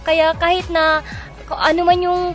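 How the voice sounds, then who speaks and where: High-pitched young Filipina radio guest. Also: female voice, little pitch movement, normal speed, a bit bright. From Philippines, Cagayan province. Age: young adult. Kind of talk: casual radio chat. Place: radio studio. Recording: music bed behind voice.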